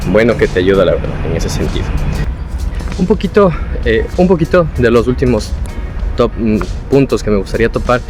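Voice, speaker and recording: A young man with an Ecuadorian Spanish accent, talking casually and explanatorily as a vlogger giving advice from his own experience. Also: lowish voice. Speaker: vlogger, former international student.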